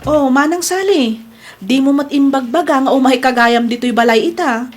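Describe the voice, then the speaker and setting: A woman playing Mariel, an innocent, soft-spoken younger sister in a radio drama. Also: sits high, normal speed, soft background music, natural voice. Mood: puzzled. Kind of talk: Ilocano radio drama acting.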